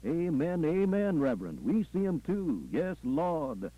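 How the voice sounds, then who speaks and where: Fervent churchgoers voiced by a man call back to the preacher. The cries are short, repeated and pleading, pitched up high. The recording is dull and lo-fi. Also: big pitch swings, a little slow, dry recording. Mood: fervent approval. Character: fervent church congregation members crying responses, raised voices. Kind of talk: call-and-response shouts.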